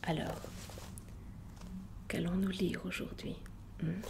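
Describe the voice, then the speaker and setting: A woman whispering in an ASMR roleplay as a gentle, indulgent adult reading a child a bedtime story, soft, unhurried and tender. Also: on the low side, level tone. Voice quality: whispered, breathy with creaky edges.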